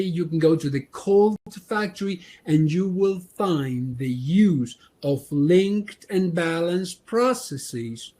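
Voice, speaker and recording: A man's voice: high voice, warm tone. A man from Argentina, giving a training presentation on manufacturing, often restarting his sentences. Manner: halting, many restarts and fillers.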